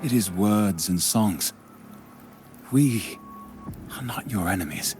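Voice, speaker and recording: Calm game poet, low voice, measured, earnest, idealistic, soft music underneath. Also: male voice. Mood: earnest, resolute. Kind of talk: dramatic game voice acting.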